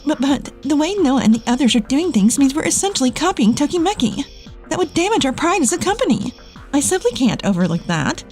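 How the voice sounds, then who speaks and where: Woman acting, assertive office lady, high mellow voice, animated manga-dub drama, music underneath. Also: brisk. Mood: indignant. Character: bitter, bossy female ex-boss, and calm capable female employee. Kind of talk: dubbed comic drama narration.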